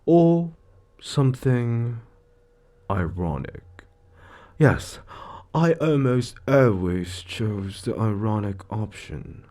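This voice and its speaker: A man's voice: lowish voice, expressive, drawn-out words, dark tone. A man voicing a suave, gloating devil lord in an audio roleplay, theatrical and condescending, savoring his words as he toys with a mortal. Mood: gleeful. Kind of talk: scripted audio roleplay monologue.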